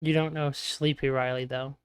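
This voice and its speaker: A man's voice: medium pitch, little pitch movement, normal speed, clean tone, no echo, studio quality. A young man acting as game master in a casual voice chat, joking and teasing his players and often trailing off mid-sentence. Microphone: online voice chat mic.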